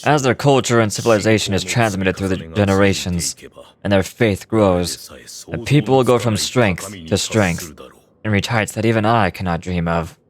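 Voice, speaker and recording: A man's voice: fairly low pitch, normal speed, close to an everyday voice. An actor playing a resolute male leader in a fantasy game, speaking in a solemn, declamatory manner. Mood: defiant. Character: resolute male hero-leader bargaining for his people. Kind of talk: fantasy video game voice acting. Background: music.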